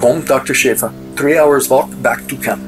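An actor playing a deferential Nazi expedition colleague with a German accent in a radio drama. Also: male voice, mid-range voice, moderate pace, over music, close to an everyday voice. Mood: doubtful.

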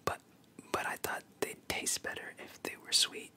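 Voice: normal speed, pushed and bright. Storyteller doing a little girl, sweet n earnest, hesitant, manga, whispering. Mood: shy. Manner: hesitant, pleading.

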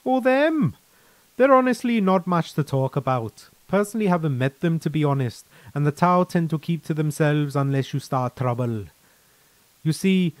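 A man's voice: on the high side, dry recording, pitched above the performer's own voice, natural voice. A man voicing a chatty, frank, easygoing veteran soldier in a sci-fi story, with colloquial, mildly profane, persuasive talk.

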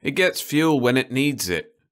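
A man's voice: medium pitch, animated, a little slow, fairly bright tone, pitched above the performer's own voice. A man voicing a matter-of-fact husband in an audiobook, firm and explanatory. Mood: explaining. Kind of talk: audiobook character voice.

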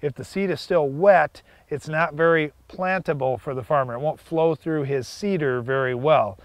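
A man's voice: on the high side, normal speed, no room sound. A man in his thirties from the United States co-hosting a farm show, explaining step by step with small fillers, outdoors in a field on a lapel mic. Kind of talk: scripted educational farm talk.

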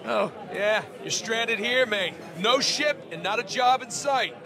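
A man voicing a brash, matey barkeep who needles customers about debts in an animated comic. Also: very high voice, unhurried. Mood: mocking. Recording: loud bar crowd behind.

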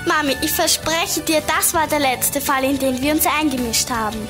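A woman's voice: sits high, normal speed, over loud music, close to an everyday voice. A woman playing a cheeky young girl from a detective gang in an audio drama. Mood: playful. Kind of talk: German children's audio drama acting.

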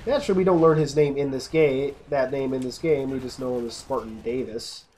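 A man's voice: medium pitch, fairly even intonation, fairly quick, a little mellow, a little hiss or hum. A man casually commenting over gameplay, rambling and often restarting his sentences. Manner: rambling, self-interrupting, casual. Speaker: let's play host.

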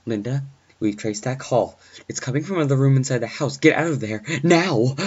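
A man voices a male police dispatcher in a scary tale. He sounds businesslike and reassuring, pitched up, on a slightly dull recording. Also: expressive, a little fast. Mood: urgent.

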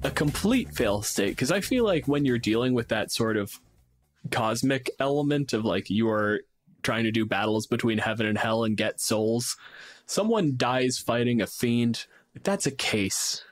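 Guy podcasting casually, slangy n sweary, mid pitch, really dry recording. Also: animated, measured pace, no echo, soft background music. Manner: profane, slangy, acts out imagined quotes. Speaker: podcast co-host. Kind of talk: casual, animated chat about fantasy game monsters.

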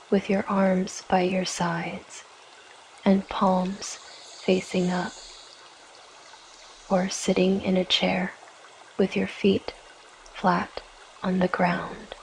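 Very slow, low-voiced woman guiding meditation. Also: slight background noise, low-quality recording. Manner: gentle, soothing, measured with long pauses. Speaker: yoga nidra guide. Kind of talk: guided meditation, scripted narration.

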